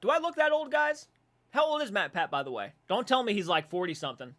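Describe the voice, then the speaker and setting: A man in his twenties hosting a casual livestream, reading viewer comments aloud and reacting with animated, swearing banter. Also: high-pitched, fast talker. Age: 20s. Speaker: livestream host. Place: dark room at home.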